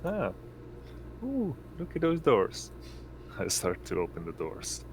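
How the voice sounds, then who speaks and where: A man's voice: medium pitch, wildly swooping pitch, slow pace, slight background noise. A man playing a tabletop roleplaying game, chatting casually at the table.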